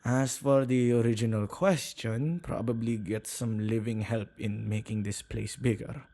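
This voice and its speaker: A man's voice: on the low side, steady tone, warm tone. A man playing a shy, awkward, kind-hearted witch who tends ghosts, speaking hesitantly in an ASMR roleplay. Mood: musing, reluctant. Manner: hesitant, halting, stammering. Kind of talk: ASMR boyfriend roleplay, acted monologue.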